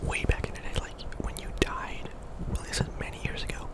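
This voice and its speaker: A man speaks entirely in a whisper, giving ASMR commentary over gameplay. He rambles casually and conversationally, as if chatting to viewers while he plays. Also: fairly quick. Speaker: video game streamer and host.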